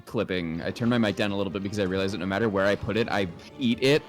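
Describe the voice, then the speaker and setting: A man's voice: on the low side, very fast, soft background music. A man co-hosting a game livestream, chatting casually close to his mic on a voice call. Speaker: livestream co-host. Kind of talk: casual game stream chat.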